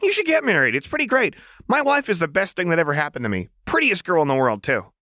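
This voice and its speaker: A man's voice: sits high, very animated, fast talker, bright, forceful tone, dry recording, voice pushed up, heavily acted. A man voicing a manic, gleefully menacing young woman in an audiobook, with chirpy, taunting, slangy chatter. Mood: cheerful, chatty.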